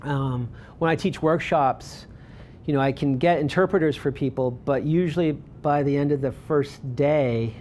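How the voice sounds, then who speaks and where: A man's voice: on the high side, normal speed, a little mellow, slight background noise. Rambling man presenting with many ums. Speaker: photographer giving a talk. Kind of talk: presentation with audience questions.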